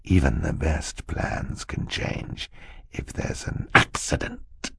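A man voicing a sly, menacing villain in an audiobook, speaking in a slow, sneaky, sinister way. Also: a bass voice, big pitch swings, gravelly, very noisy, full character voice. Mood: terrible quiet threat.

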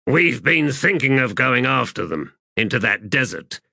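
A man's voice: mid-range voice, sing-song, measured pace, creaky, bright, forceful tone, husky, no echo, studio quality, pitched above the performer's own voice, heavily acted. A male narrator voicing a crude, sardonic marine sergeant who talks in coarse soldier slang.

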